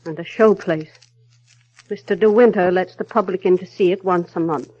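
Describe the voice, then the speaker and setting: An actress playing a cold, formal middle-aged housekeeper in 1930s radio drama, measured and quietly menacing. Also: female voice, lowish voice, no room sound, close to an everyday voice. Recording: dull, muffled vintage radio broadcast, music.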